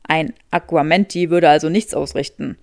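A woman's voice: sits low, steady tone, moderate pace, gravelly, no echo, clean studio sound, voice pushed down. A woman voicing a haughty, skeptical young wizard man with a drily sardonic drawl in an audiobook. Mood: dry, probing.

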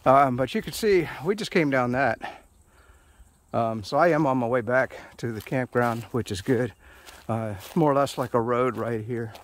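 A man's voice: mid-range voice, normal speed, a little hiss or hum. A middle-aged man, talking casually and rambling while he hikes a forest trail, recording on a phone he holds. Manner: rambling, self-mocking, many fillers. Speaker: hiking vlogger. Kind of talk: casual walking commentary. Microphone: phone camera held while walking.

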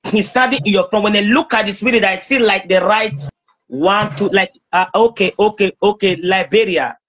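Husky preacher, insistent and repetitive. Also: male voice, high-pitched, normal speed, a bit bright, dull audio. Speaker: preacher, self-styled prophet. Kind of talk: prophetic prayer ministry over a video call. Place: small indoor room. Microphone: phone at arm's length on video call.

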